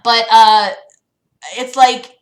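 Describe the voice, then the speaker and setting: A woman's voice: fairly high pitch, little pitch movement, drawn-out words. A woman chatting casually as a livestream host, rambling hesitantly in a self-deprecating way. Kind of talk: casual chat with livestream audience.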